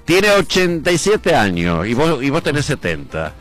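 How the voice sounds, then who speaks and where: A man's voice: fairly high pitch, huge pitch swings, normal speed, a bit bright. A man with an Argentine accent hosting a casual, bantering chat, teasing and prodding, mixing Spanish and Italian. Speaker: radio show host. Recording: music playing behind the voice. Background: music.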